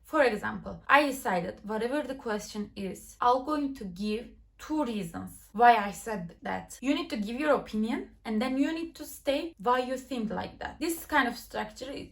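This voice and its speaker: Young turkish woman, bit high voice, explaining exam tips casually at home, little english slips. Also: creaky, a bit bright. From Turkey. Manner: chatty, explains step by step, small grammar slips. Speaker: TOEFL tips YouTuber. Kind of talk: casual instructional vlog. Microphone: laptop nearby.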